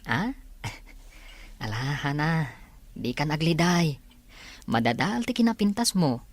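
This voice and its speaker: A woman playing a kind, encouraging young male student in a radio drama, speaking gently and reassuringly. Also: sits low, sing-song, a little slow, no echo, full character voice. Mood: teasing, caring. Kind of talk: Ilocano radio drama acting. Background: faint background music.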